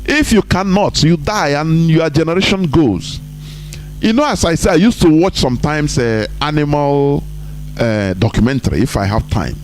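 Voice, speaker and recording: A man hosting a live online broadcast, talking emphatically, rising high to stress points and dropping low for asides. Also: expressive, moderate pace, darkish tone, faint music behind.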